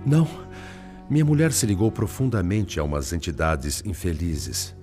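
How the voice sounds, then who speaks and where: A man's voice: low-pitched, very animated, dark tone, faint music behind. An actor with a Brazilian accent playing a sorrowful male spirit, once a principled lawyer, in formal, eloquent radio-drama style. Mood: bitter, mournful. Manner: formal, eloquent, sorrowful, halting when troubled.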